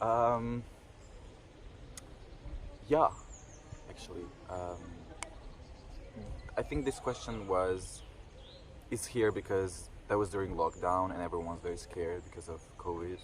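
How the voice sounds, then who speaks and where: A man's voice: deep voice, slight background noise. A man of twenty-one talking casually to camera in a reflective vlog, hesitant, with many fillers and restarts. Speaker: vlogger.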